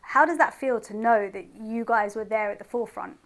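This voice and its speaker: Higher-voiced woman radio host. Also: brisk. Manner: friendly, asks questions, laughs along. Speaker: radio presenter. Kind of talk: radio interview.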